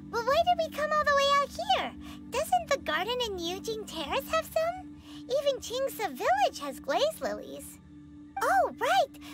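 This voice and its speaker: A tiny, excitable fairy sidekick from a fantasy video game. The voice is childlike, squeaky and extremely high, with very animated swings in pitch. Music plays clearly behind it. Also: female voice, heavily acted. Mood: curious. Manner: chirpy, speaks of self in third person.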